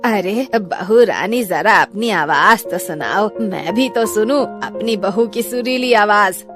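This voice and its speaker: A woman voicing a sharp-tongued, sarcastic middle-aged mother-in-law in a cartoon story. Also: medium pitch, takes it slowly, over music, close to an everyday voice. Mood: scolding. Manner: scolding, dramatic, sarcastic. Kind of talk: cartoon voice acting.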